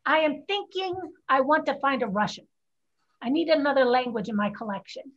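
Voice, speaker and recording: A woman's voice: sits high, expressive, a little fast. A woman reading the part of a proud, blunt fifteen-year-old Chinese girl in a staged play reading over a video call. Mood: proud, bitter. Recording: muffled video-call audio.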